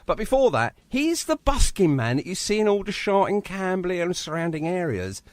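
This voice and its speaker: Male radio interviewer, very lively intonation, effusive, dry studio sound. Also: sits high, brisk, no room sound. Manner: effusive, agreeable, frequently affirming. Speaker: radio show host. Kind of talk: chatty live radio interview.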